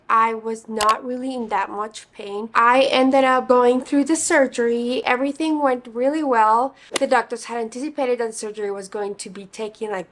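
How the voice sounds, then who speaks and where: A young woman in her twenties with a slightly raspy, hoarse voice, chatting casually while getting ready, full of fillers. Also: fairly high pitch. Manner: chatty, many fillers like "like" and "you know". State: hoarse from singing. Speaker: beauty vlogger. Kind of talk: casual get-ready-with-me chat.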